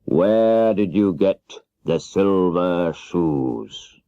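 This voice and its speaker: A man voicing a stern, commanding great wizard who speaks deliberately in a children's storybook. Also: low-pitched, warm tone, no room sound, full character voice. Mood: questioning, imposing. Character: stern, bargaining great wizard, a giant head. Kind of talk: children's audiobook character voice. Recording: dull 1970s cassette audiobook.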